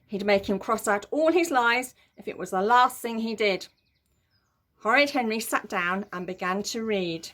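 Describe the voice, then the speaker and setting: A woman's voice: medium pitch, lively intonation, normal speed, a bit bright. A woman narrating a children's story in a dramatic, emphatic way. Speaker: story reader.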